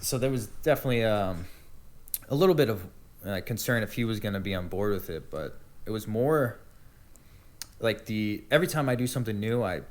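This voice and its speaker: Young male podcast guest, fairly low voice, rambling and casual, swears freely. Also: expressive, fairly quick, darkish tone. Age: young adult. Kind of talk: casual chat.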